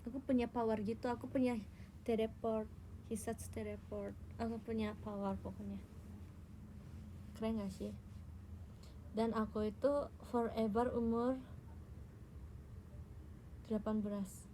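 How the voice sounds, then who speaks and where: A woman's voice: fairly high pitch, little pitch movement, a little slow, pauses often, warm tone, slight background noise, low-quality recording. A young Indonesian woman chatting casually and teasingly with her viewers on a phone livestream. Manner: playful, teasing, tells tall tales. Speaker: idol livestreamer.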